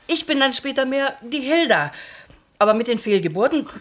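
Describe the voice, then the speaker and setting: An actress playing a middle-aged, homely country wife who speaks directly and chattily in a radio play. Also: female voice, sits high, big pitch swings, moderate pace. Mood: frank. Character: forthright, homely country wife, proud hostess. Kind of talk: naturalistic radio play dialogue.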